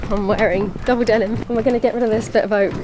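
A woman's voice: a little high, fairly even intonation, very fast. Young woman vlogging over background noise. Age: 20s. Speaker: vlogger living on a boat. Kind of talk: casual vlog chat. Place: boat cabin, sometimes outdoors at a marina. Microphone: camera at arm's length. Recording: clear background noise, handheld camera.

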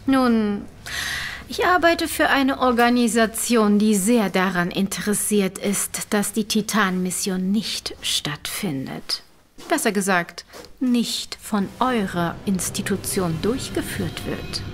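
An actress playing a cold-blooded scientist who is a hired killer, gloating softly and theatrically in an audio drama. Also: female voice, medium pitch. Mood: menacing calm. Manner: slow, smug, theatrical gloating with soft menacing phrasing.

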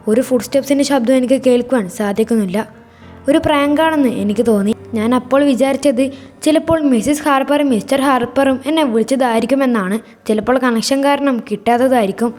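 A woman tells a first-person horror tale as its narrator. Her voice sits somewhat high and has a very mellow, relaxed tone. She reads in a measured, suspenseful manner over faint music. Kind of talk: scripted first-person storytelling.

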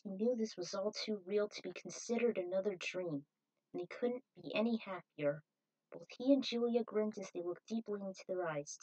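A woman's voice: mid-range voice, a little mellow, rough recording. A woman reading her own fan fiction aloud as a scripted story narration.

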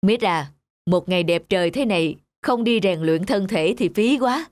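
A man voicing a patient, wise father in a cartoon, reasoning and gently teasing. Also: sits high, animated, moderate pace, slight background noise. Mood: coaxing, reasoning. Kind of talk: cartoon voice acting. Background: music.

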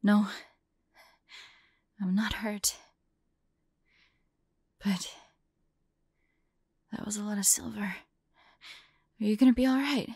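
A woman playing a devoted young heroine in an audio roleplay, halting and breathy, often dropping into whispers. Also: medium pitch, darkish tone, no room sound, clean studio sound, heavily acted. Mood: exhausted, urgent. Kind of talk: intimate acted audio roleplay.

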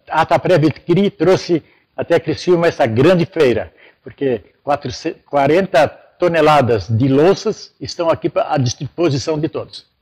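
Higher-voiced older man. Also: darkish tone. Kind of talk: informal promotional invitation to camera. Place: large indoor hall.

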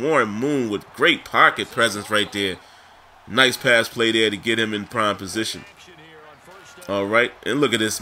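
A man hosting a gameplay video with casual commentary addressed to viewers, his voice scratchy and raspy from being under the weather. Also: mid-range voice, bright, forceful tone. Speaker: gaming video host.

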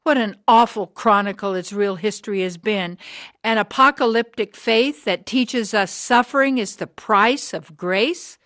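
Woman acting older woman, radio drama, hard, driven, slow deliberate rhetoric, mid-pitched, dry sound. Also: fairly bright tone, no room sound, natural voice. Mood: bitter, impassioned. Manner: deliberate, rhetorical, emphatic pauses. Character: hard, driven sixty-year-old woman, bitter anti-church preacher.

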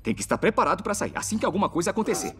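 A man's voice: high-pitched, sing-song, racing, over loud music. A man with a Brazilian accent voicing a kindly, reassuring father rescue motorcycle in a cartoon, explaining things in a fatherly way. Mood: encouraging. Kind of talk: animated cartoon dialogue, Brazilian Portuguese dub.

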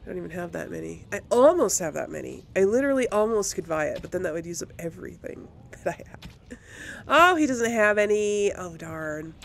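Animated, creaky-voiced woman gamer. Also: fairly low pitch, a little fast, slight background noise. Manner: chatty, thinking aloud. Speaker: let's play host. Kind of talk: casual gameplay commentary.

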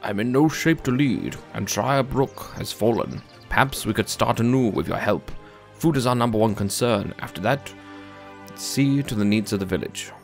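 An actor playing a battered, kindly old village mayor, weary and forgiving, in a fantasy game. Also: male voice, mid-range voice, normal speed, faint music behind, close to an everyday voice. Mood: weary, hopeful.

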